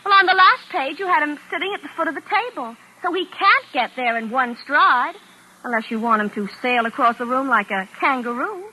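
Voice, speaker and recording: A young Canadian secretary in a vintage radio play, pert and unimpressed. Her voice is high and crisp-edged with a bright, forceful tone, and she argues in a matter-of-fact, stubbornly literal way. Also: animated, fairly quick, a little hiss or hum, heavily acted, rough recording. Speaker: actor. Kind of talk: 1940s radio drama acting. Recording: vintage 1940s radio recording.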